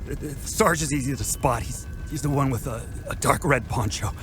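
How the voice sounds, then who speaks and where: An actor playing a wounded, rattled soldier in pain, speaking urgently and haltingly in a video game. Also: male voice, medium pitch, over music, natural voice. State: hurt, in pain. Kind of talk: naturalistic video game dialogue acting.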